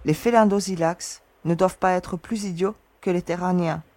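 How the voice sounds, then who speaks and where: A woman acting a resolute young space explorer who reasons calmly and gives orders. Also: fairly low pitch, moderate pace, no echo, close to an everyday voice. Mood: steady, reasoning. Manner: calm, reasoning, commanding. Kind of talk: audio drama acting.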